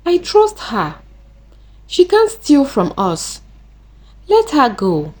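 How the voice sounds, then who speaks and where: Woman as earnest, trusting auntie, highish voice. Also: wildly swooping pitch, slow pace, darkish tone, exaggerated voice. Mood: surprised, trusting. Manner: questioning, earnest. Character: kind, trusting married auntie, easily shocked.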